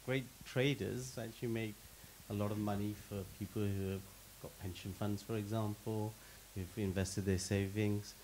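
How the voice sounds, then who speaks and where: A man's voice: on the low side, steady tone, moderate pace, hardly any pauses, a little mellow, a little hiss or hum, rough recording. A middle-aged man on a panel, talking expansively into a handheld mic and stacking examples, often repeating a word as he starts. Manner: expansive, repeats words when starting, gives lists of examples. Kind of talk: panel discussion answer. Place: stage in a meeting hall with audience.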